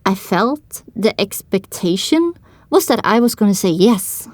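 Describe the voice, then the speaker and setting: A woman delivers scripted first-person narration for a story. Her pitch sits in the middle range. The sound is dry, with no room to it. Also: expressive, a little slow, a little mellow, no room sound.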